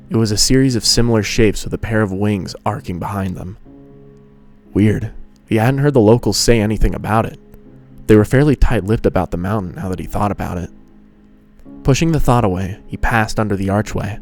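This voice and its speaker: A man narrates a piece of fan fiction. His voice sits fairly low as he reads the scripted prose. Music plays quietly behind him.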